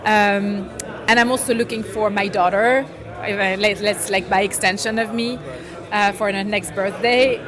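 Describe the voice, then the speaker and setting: A middle-aged woman from France, talking casually in an interview, animated and laughing, often trailing off and restarting. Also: mid-range voice, moderate pace. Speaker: interviewee, watch collector. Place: busy indoor event. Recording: crowd chatter behind.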